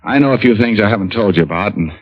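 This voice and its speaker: Low-voiced wisecracking private eye. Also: male voice, lively intonation, fast. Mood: steady. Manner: dry, sardonic wisecracks. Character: wisecracking, unflappable hard-boiled private detective. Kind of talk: old-time radio noir narration and acting. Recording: muffled old radio recording with music.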